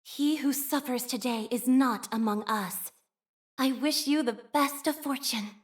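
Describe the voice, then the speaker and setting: An actress voicing a soft-spoken, devout young woman scholar of a religious order in a video game, speaking formally and solemnly. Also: high voice. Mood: gentle, devout. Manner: formal, solemn, measured, reverent. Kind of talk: scripted video game character voice lines.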